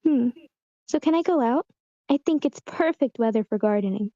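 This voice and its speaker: A woman's voice: a little high, animated, moderate pace, mellow, dry recording. A woman voicing a bored, pestering, defiant young girl in an amateur screenplay table read, on a slightly dull online call. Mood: pleading. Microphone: video call.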